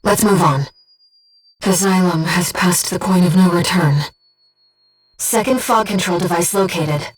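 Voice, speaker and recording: A woman's voice: lowish voice, breathy edge, faint music behind. Distant, composed female companion spirit. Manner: measured, precise, earnest delivery. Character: calm, earnest, gentle female disembodied voice guiding a pilot. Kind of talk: scripted video game voice acting, mission support.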